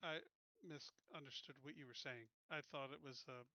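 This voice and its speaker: A man's voice: mid-range voice, steady tone, moderate pace, bright, forceful tone, no echo. A man chatting casually as a player in a tabletop game, talking into a headset. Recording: slightly dull headset audio.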